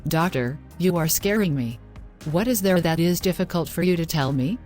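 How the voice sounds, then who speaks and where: A woman's voice: lowish voice, animated, normal speed, a little mellow, faint music behind, close to an everyday voice. A woman playing a distraught, self-reproaching young woman in a scripted moral-story drama. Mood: anxious.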